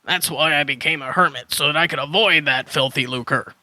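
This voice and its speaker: A man's voice: a little high, a little fast, pushed and bright, dry recording. A man playing a crazy old hermit dinosaur trader in a children's audio drama, flustered and tangling his sentences. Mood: panicked, alarmed. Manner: muddles and swaps word order, then corrects himself.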